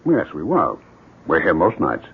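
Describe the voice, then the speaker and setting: An actor playing a hot-headed, embittered husband in a radio drama, with an emphatic delivery. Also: male voice, very deep voice, wildly swooping pitch, measured pace, slightly rough, full character voice. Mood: polite, guarded. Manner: vehement, emphatic. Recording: dull 1970s radio recording.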